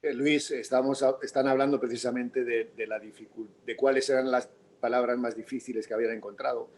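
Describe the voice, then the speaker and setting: A man's voice: mid-range voice, flat delivery, fast talker, darkish tone. A man with a Spanish accent, a theatre director speaking off the cuff in a Q&A, repeating words as he explains. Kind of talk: unscripted Q&A discussion.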